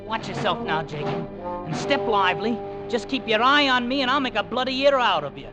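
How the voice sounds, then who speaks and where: A man's voice: high-pitched, lively intonation, a little fast, loud music behind the voice. An actor playing a young army drummer boy, cheeky and boastful, eager to win a medal. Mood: rousing. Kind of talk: 1940s radio acting. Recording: old radio broadcast with hiss.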